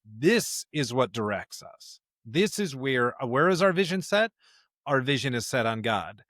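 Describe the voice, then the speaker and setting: Guy podcast host chatting through scripture, earnest, repeats stuff for emphasis, dry close sound, medium pitch. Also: sing-song, measured pace, fairly bright tone, dry recording. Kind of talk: conversational Bible discussion.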